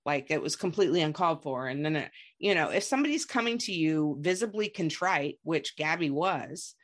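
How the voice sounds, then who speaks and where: A woman's voice: low voice, expressive, a little fast. A woman hosting a podcast, chatting casually and gossipily as she recaps reality television. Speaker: podcast host.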